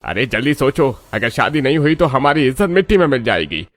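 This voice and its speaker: A man's voice: mid-range voice, sing-song, fairly quick, no echo, pitched above the performer's own voice, lightly acted. A man voicing a pushy, scheming middle-aged uncle in a Hindi cartoon story, insistent and persuasive. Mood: urgent, stern.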